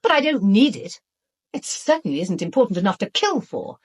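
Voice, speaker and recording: A woman's voice: medium pitch, big pitch swings, brisk, husky. An actress with a British accent playing a bitter, outspoken woman in a radio drama, blunt and emphatic. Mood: bitter, agitated.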